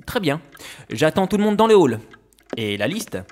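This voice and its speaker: A man's voice: on the high side, big pitch swings, normal speed, a little mellow, slight background noise. A man playing an over-eager, formal holiday activities organiser in an audio comedy, with an obsequious, fussy manner. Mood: politely insistent. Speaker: voice actor. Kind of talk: amateur French audio comedy acting.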